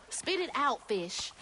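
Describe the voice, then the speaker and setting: An actress playing a headstrong, compassionate young heroine in a game, earnest and outspoken. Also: female voice, sits high, sing-song, very slow, pushed and bright, slight background noise, heavily acted, poor audio. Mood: insistent. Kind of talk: dramatic game dialogue.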